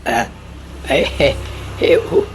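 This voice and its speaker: A man's voice: slow, natural voice. A man playing a pleading, hesitant father in a comedic radio drama. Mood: sheepish, pleading. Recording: very noisy radio drama track.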